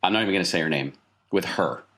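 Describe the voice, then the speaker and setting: Tabletop roleplay part: fed-up, sharp-tongued ex-wife performed by a man, low-pitched. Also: fast. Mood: scolding.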